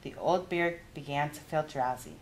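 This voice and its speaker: A woman narrating a children's story in an expressive read-aloud style. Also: sits low, moderate pace, slight background noise, rough recording. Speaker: youth adviser reading a children's story.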